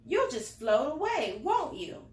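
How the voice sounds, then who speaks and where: Woman voicing a grumpy storybook man, high and swooping. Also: big pitch swings, measured pace. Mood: grudging. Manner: gruff, complaining, exclaiming. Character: grumpy solitary man with a soft heart. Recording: roomy, echoing indoor sound.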